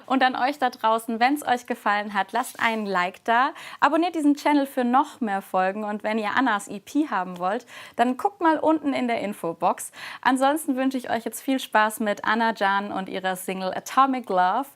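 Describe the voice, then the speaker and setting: A woman's voice: on the high side, normal speed, a bit bright. A woman hosting a music magazine, asking short questions and closing with a cheerful presenter sign-off. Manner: upbeat, brief prompts and presenter sign-off. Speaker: host.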